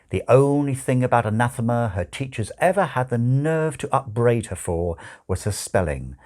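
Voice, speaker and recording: A man narrating a comic novel as an audiobook, with wry, expressive storytelling. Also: mid-range voice. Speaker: audiobook reader.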